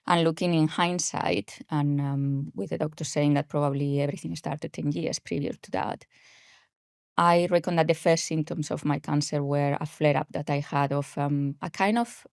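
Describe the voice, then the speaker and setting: Woman, Spanish accent, low pitch, hesitant with fillers, dry close sound. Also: steady tone, normal speed, creaky, darkish tone, no echo. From grew up in Spain. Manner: hesitant, many fillers and restarts. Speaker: podcast guest, health coach. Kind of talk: casual interview talk.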